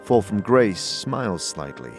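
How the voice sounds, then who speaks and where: A man narrating an audio drama and voicing the lead, with a casual, chuckling, halting manner. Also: fairly low pitch, very animated, faint music behind.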